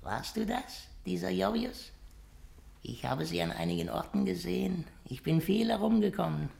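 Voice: medium pitch, animated, moderate pace, vocal fry. An actor in a 1950s radio play voicing a stunted dwarf acrobat, young, proud, wry and world-weary, with a theatrical, sardonic delivery. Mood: dry, mocking. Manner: theatrical, sardonic, shifting between hushed and sharp.

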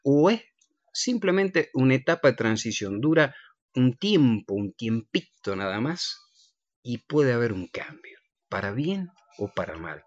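A man's voice: medium pitch, sing-song, a little slow, no echo, lo-fi sound. A man hosting a casual live radio interview, teasing playfully and speaking straight to the listeners. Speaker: radio host.

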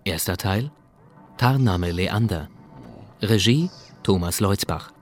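A man's voice: low voice, slow. A man announcing the titles of a radio drama in a formal scripted read. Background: music.

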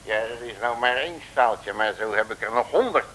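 Bluffing detective, breezy n self important, sharp voice, old hissy radio play. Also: male voice, mid-range voice, lively intonation, fairly quick. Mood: boastful. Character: cocky, bluffing, folksy male detective. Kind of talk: 1960s Dutch comic radio serial acting.

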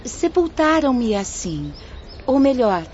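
Jovem espírito gentil, som abafado. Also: female voice, sits high, big pitch swings, slow, a little mellow, heavily acted. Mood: serene, wistful. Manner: formal, courteous. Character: gracious, gentle fifteen-year-old girl spirit, aristocratic and serene. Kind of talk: radio drama acting. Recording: dull sound with faint background noise.

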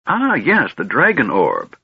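A man voicing a befuddled old male wizard, absent-minded yet shrewd, with a high, quavering, wistful manner in an audiobook. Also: wildly swooping pitch, measured pace, vocal fry, breathy edge, full character voice. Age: older. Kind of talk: audiobook character voice. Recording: dull, slightly lo-fi recording.

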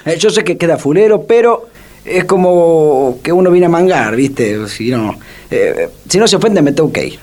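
Man playing a high-pitched, scrounging Argentine dad. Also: very animated, normal speed, darkish tone. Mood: apologetic, casual. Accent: Argentine Rioplatense. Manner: ingratiating, apologetic. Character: shameless, apologetic scrounging family father. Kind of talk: comedic radio theatre acting.

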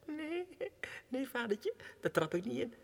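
A man's voice: extremely high pitch, sing-song, unhurried, gravelly, full character voice. A tormented madman in echoing reverb. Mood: secretive, wounded. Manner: theatrical, swinging from hushed whispers to anguished cries. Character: tormented madman clerk, imagines himself king, desperate and childlike. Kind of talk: 1960s radio drama monologue. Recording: reverberant hall, 1960s radio production.

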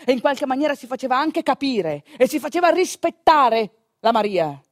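A woman with a northeastern Italian accent telling a theatrical monologue in an expressive, conversational manner. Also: sits high, normal speed, dry recording, clean studio sound. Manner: expressive, conversational, with rhetorical questions and asides. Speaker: stage actor and storyteller.

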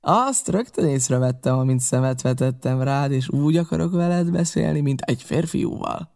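A man voicing a scheming older male pirate cook who talks in a wheedling, boastful, flattering way, in an audiobook reading. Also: medium pitch, vocal fry, natural voice. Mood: sly boasting.

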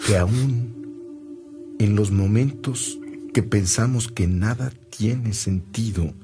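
An older actor playing a tender, fervent old priest in a radio drama, solemn, fatherly and measured. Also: fairly low pitch. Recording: loud music behind the voice.

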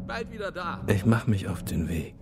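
A creepy teasing hunter in a radio thriller, mocking, lively swings in his voice, music under it. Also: medium pitch. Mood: smug reassurance. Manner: mocking, slow-burning, suggestive. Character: menacing, teasing hunter in remote mountains. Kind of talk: radio crime drama acting.

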